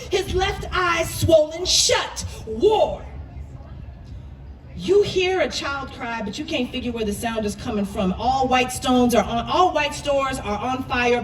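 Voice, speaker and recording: A woman's voice: sits high, a little hiss or hum. A woman performing spoken word poetry with an impassioned, emphatic and dramatic delivery. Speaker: poet. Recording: roomy echo, distant microphone.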